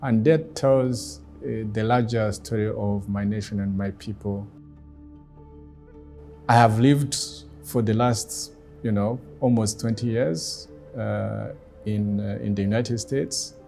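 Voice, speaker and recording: Middle-aged man, Rwandan accent, native Kinyarwanda speaker, lowish mellow voice, reflective, deliberate pacing. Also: steady tone, gravelly, faint music behind. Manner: deliberate, measured, with pauses. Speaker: guest speaker, former ambassador. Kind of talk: reflective personal talk.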